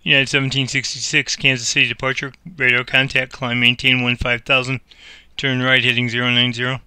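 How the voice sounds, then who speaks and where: A man's voice: medium pitch, level tone, fairly quick, pushed and bright, clean tone, no echo. A man playing an air traffic controller, mixing radio phraseology with casual commentary on his game.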